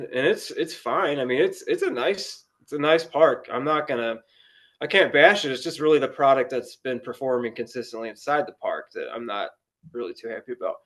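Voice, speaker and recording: A man's voice: mid-range voice, fairly bright tone. Casual man in his early thirties. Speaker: guest, baseball fan. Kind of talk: casual chat.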